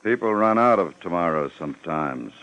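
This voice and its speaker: A cold gunman in a vintage radio crime drama. His voice sits low, and he hands out curt, controlled threats with a deadpan menace. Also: moderate pace, lo-fi sound. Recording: vintage 1950s radio recording.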